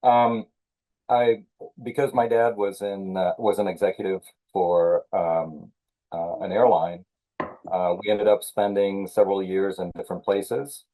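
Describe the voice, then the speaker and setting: A man born in Senegal, a thriller author being interviewed, talking in a measured way with many fillers and restarts. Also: deep voice, flat delivery, dark tone, low-quality recording. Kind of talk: conversational interview.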